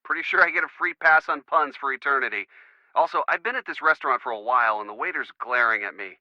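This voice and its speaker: A man's voice: medium pitch, fast talker, no room sound, dull audio, natural voice. An actor playing an easygoing young American boyfriend in a video game, teasing and wryly sarcastic in his phone dialogue. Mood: playful. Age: young adult. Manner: wry, playful sarcasm.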